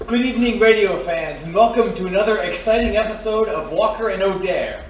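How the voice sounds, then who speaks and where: A man's voice: sits high, fairly quick, lightly acted. A man playing an earnest old-time radio announcer, declaiming like a salesman in a 1940s style. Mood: showy, welcoming. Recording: very echoey, distant, faint noise.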